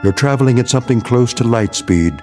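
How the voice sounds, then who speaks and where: A man narrating an anthology audio drama as a wry, portentous storyteller, deliberate and dramatically paused. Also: mid-range voice, expressive, a little mellow, faint music behind, close to an everyday voice. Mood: wry, ominous. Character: wry, portentous male storyteller introducing a strange tale.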